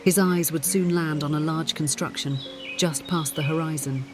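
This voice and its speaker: Audiobook narrator over clear background music. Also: medium pitch, fairly even intonation, moderate pace. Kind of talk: scripted story narration.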